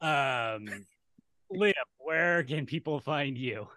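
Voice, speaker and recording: A man's voice: medium pitch, slow pace, pushed and bright, no room sound. A man hosting a podcast in casual chat, blunt and emphatic, given to swearing. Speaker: podcast host.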